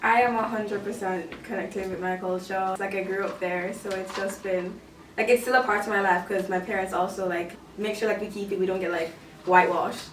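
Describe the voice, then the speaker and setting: A woman's voice: mid-range voice, steady tone, brisk. A twenty-year-old woman from Jamaica with a Jamaican accent mixed with Canadian, chatting casually and animatedly. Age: 20s. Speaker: guest in a group discussion. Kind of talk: casual chat. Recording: roomy, from a distance, faint noise.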